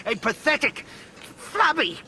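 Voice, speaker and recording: High-pitched, scornful fanatical male professor. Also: big pitch swings, slow, pushed and bright, slight background noise, heavily acted. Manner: haughty, contemptuous debating. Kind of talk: radio drama acting.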